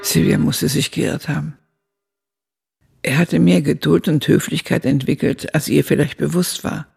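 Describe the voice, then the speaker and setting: An actress playing an elderly woman who reflectively recalls her youth, narrating a literary radio drama in a gravelly, raspy voice. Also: low-pitched, darkish tone, exaggerated voice. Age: older.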